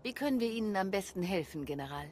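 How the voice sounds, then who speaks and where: A woman playing a calm, confident military commander in a video game, composed and dutiful. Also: medium pitch, quick pace, slight background noise, close to an everyday voice. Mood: attentive. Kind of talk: German video game voice acting.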